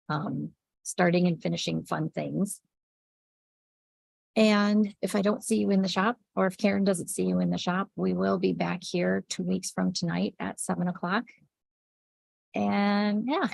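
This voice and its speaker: Woman, shop owner on a livestream, with a cold, sniffly and raspy, very gravelly, casual. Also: on the low side, darkish tone, no echo. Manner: chatty, reads out viewer comments by name, many ums. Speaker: yarn shop owner hosting a weekly livestream. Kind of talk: casual chat with viewers.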